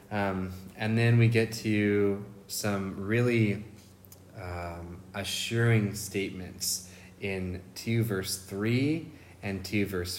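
A man's voice: deep voice, slight background noise. A man co-hosting a casual Bible study podcast, thoughtful and enthusiastic, often restarting his phrases. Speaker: podcast co-host.

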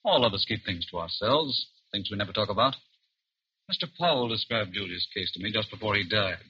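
An actor playing a calm, courteous doctor in an old radio suspense drama, measured, sceptical and reassuring. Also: male voice, on the low side, breathy edge, heavily acted. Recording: muffled old radio recording.

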